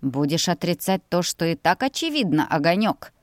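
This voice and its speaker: A woman's voice: on the low side, sing-song, normal speed, dry recording, clean studio sound. A woman voicing a stubborn male dragon general, curt, smug and possessive, in a fantasy audiobook. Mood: smug, insistent.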